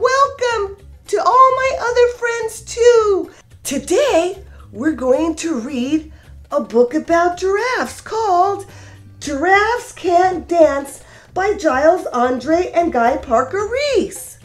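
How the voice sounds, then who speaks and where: A woman reading a picture book aloud as a storytime host, expressive and sing-song as she talks directly to young children. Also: extremely high pitch, slow pace, faint music behind.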